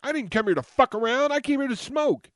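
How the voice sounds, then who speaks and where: A man voicing a cocky, combative young woman champion in a recited story poem, boastful and forceful. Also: extremely high pitch, expressive, brisk, dry recording, clean studio sound. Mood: outraged.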